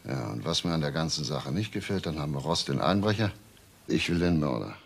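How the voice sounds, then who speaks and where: A man acting a calm, sly chief inspector in a crime radio drama, with a dry, needling manner. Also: very deep voice, creaky, heavily acted. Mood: measured, determined. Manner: dry, needling, unhurried questioning.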